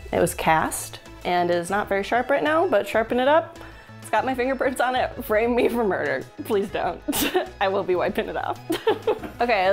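A woman in her thirties hosting a casual show-and-tell, joking and laughing often, recorded in an indoor studio with an off-camera mic. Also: mid-range voice. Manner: playful, jokey, laughs often. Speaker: host. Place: indoor studio with a blue wall.

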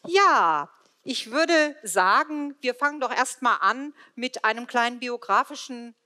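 Bright, high-voiced middle-aged woman moderating. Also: expressive, a little slow. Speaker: moderator of a panel talk. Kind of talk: stage presentation and panel moderation. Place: indoor event stage. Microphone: headset microphone.

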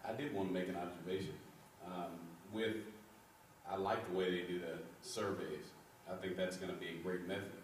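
An earnest man makes his point at a board meeting, measured and full of ums. His voice is very low and flat. It reaches a distant camera through a large echoey room. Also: slight background noise. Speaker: school board member. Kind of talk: meeting discussion. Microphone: far camera.

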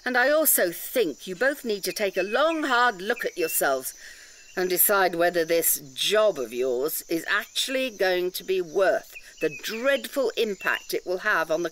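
A woman's voice: mid-range voice, a bit bright, a little hiss or hum. A woman playing an indignant nature-reserve campaigner in an audio drama, lecturing emphatically with pedantic detail and rhetorical questions.

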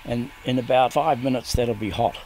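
A man's voice: medium pitch, steady tone, moderate pace, noisy background. A middle-aged man with a New Zealand accent, talking casually and colloquially as a local resident being interviewed.

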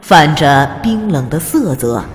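A woman's voice: low-pitched, sing-song, a little slow, faint music behind. A woman reading scripted narration of a fantasy audiobook in a dramatic storytelling style. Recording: long hall reverb.

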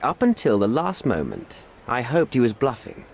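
Defensive envoy, animated delivery. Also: male voice, mid-range voice, normal speed, vocal fry, slight background noise. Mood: evasive, regretful. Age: middle-aged. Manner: defensive, placating, formal. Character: cornered middle-aged British envoy, evasive, smooth-talking, pleading. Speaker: British envoy. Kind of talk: video game cutscene acting.

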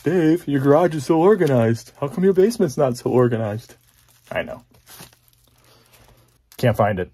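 A man's voice: medium pitch, sing-song, moderate pace, pauses often, warm tone, breathy edge. A man in his thirties from Ohio, filming himself at arm's length and making self-mocking jokes to the camera. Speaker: vlogger. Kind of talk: casual self-filmed vlog. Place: home garage and parked car. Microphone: handheld camera at arm's length.

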